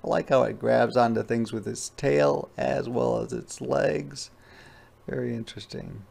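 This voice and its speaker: A male teacher narrates a children's picture book with simple asides. His voice has a gravelly creak and an ordinary pitch, and he speaks slowly in a conversational way, often repeating a phrase. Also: fairly even intonation, a little mellow. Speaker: read-aloud teacher.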